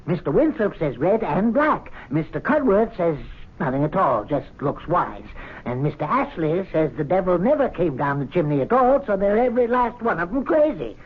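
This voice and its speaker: An actor playing a sly, resentful older club servant in an old radio drama, grumbling with scornful glee. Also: male voice, sits high, sing-song, moderate pace, husky, full character voice. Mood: sly, satisfied. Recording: thin, narrow-band radio drama recording.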